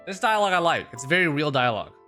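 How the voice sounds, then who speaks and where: A man's voice: on the high side, very animated, very fast, pushed and bright. A man giving casual, rambling, sweary commentary as a game livestreamer. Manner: rambling, repeats phrases, sweary. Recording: game music behind the voice.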